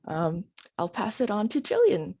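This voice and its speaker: A woman advisor from the Philippines introducing herself on a video call in a modest, relaxed way. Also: mid-range voice, normal speed, breathy edge, dry recording, clean studio sound. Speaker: academic advisor. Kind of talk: webinar self-introduction.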